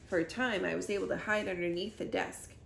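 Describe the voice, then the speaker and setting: A woman's voice: medium pitch, fairly even intonation, fairly quick. A woman reading a children's story aloud expressively, as a library storyteller. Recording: roomy echo with clear background noise.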